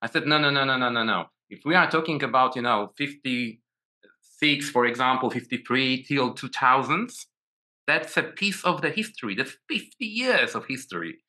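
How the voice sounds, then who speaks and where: Polish man, 40s, Polish accent, very bright tone, halting with many restarts, video-call guest. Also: medium pitch, expressive. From Poland. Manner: hesitant, many restarts and repeated words. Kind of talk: casual video call interview.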